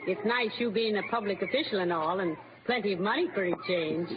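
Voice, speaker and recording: An actress playing a plain-spoken, wry, homely wife in old radio comedy style. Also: female voice, medium pitch, brisk, background noise, natural voice. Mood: wry, dry. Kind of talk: 1940s radio comedy acting. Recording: muffled old radio recording, crowd behind.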